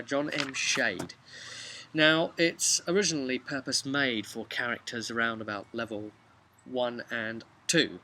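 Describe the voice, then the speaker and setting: Man, British accent, thirties, bright forceful voice, conversational game master recap, outdoors, faint background noise. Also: medium pitch. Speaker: vlog host and game master. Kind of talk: casual recap of a tabletop role-playing session. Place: outdoors on a bench by a brick house.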